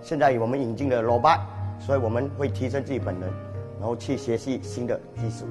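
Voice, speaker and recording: A man's voice: medium pitch, fast, music in the background. A man of fifty, a factory worker, talking earnestly in a documentary interview in a factory storeroom. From Singapore. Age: 50s. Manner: plain, earnest talk.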